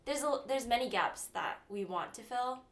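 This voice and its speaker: A woman's voice: a little high, expressive, normal speed. A young woman from Southern California co-hosting a casual college radio podcast, chatty and self-mocking, with giggly asides. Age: young adult. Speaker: podcast co-host.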